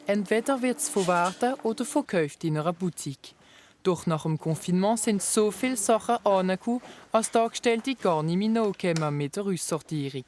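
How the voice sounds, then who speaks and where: A woman's voice: medium pitch, a little mellow, a little hiss or hum. A woman narrating a regional television news report and interviewing a guest.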